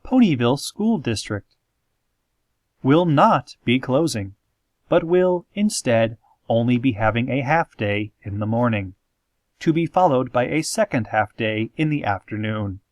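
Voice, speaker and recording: A man playing a calm, formal small-town radio host, narrating a parody horror-comedy show in measured, deadpan phrases with drawn-out dramatic moments. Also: mid-range voice, dry recording, natural voice. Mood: calm, ominous deadpan. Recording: music playing behind the voice. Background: soft guitar music.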